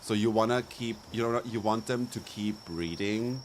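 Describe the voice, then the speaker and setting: A man's voice: fairly low pitch, moderate pace, a little hiss or hum. Campy, playful young man streaming. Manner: campy, playful, laughs often, slangy. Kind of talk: casual live-stream chat over gameplay.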